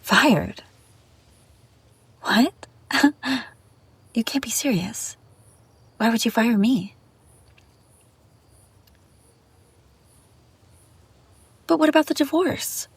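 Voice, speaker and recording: A woman's voice: a little high, lively intonation, slow pace, long pauses, breathy edge, no room sound, exaggerated voice. A woman playing an obsessive, scheming young babysitter in an intimate audio roleplay, pleading and defensive. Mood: disbelieving, desperate. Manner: pleading, defensive, hesitant then insistent. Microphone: close, dry microphone.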